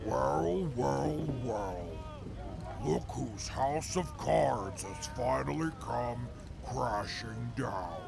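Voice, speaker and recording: A man acting in an audio drama as a pompous, smug, mocking sidekick with gravelly, formal, wordy speech. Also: medium pitch, music in the background, exaggerated voice. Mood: smug, gloating. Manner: pompous, formal, drawn-out, verbose.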